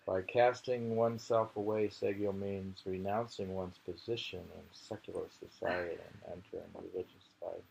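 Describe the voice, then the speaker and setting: A man's voice: deep voice, flat delivery, normal speed, vocal fry, few pauses, darkish tone. A man reading poetry aloud and commenting informally, musing and rambling as he goes, often repeating phrases. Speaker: poetry reader. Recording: noisy amateur home recording.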